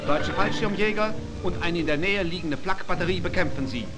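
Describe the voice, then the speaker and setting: A man's voice: sits high, a little fast, poor audio. A man narrating a wartime 1940s newsreel from a script in a formal, declarative announcer style, on an archival soundtrack. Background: loud music.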